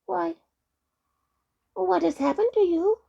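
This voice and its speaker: A woman's voice: extremely high pitch, takes it slowly, vocal fry, dark tone, lo-fi sound, voice pushed up, full character voice. A woman voicing a friendly, earnest little lantern man, a helpful sprite in a children's storybook. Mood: puzzled, concerned.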